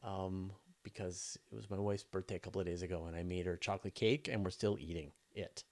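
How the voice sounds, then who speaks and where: A man's voice: deep voice, steady tone, a little fast. A man hosting a casual live question and answer session, talking informally and thinking aloud in broken phrases. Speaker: host of a space news channel.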